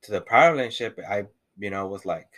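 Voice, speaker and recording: A man's voice: fairly low pitch, expressive, fast, fairly bright tone. A young man co-hosting a casual couple's podcast, rambling with many fillers and the odd swear, with a slight accent. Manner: rambling, lots of "like" and "you know", swears. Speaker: podcast co-host.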